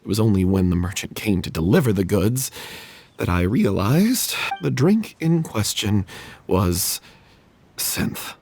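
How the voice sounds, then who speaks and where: Low, husky, troubled young stage performer. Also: male voice, very animated, a little slow, a little mellow, heavily acted. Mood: reluctantly confessing. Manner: hesitant, earnest, sighing. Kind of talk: video game voice acting.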